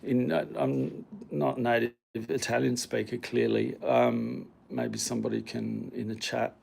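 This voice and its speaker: A man's voice: mid-range voice, expressive, a little slow, vocal fry, darkish tone, slightly rough. A man chatting casually and a bit hesitantly while showing viewers around his home. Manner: rambling, hesitant, self-deprecating. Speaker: vlogger. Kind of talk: casual home tour chat. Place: home hallway and studio room.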